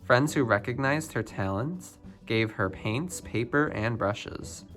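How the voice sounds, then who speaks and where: A man reading a children's picture book biography aloud, phrase by phrase with expressive emphasis. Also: medium pitch, slow, soft background music. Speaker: storybook reader.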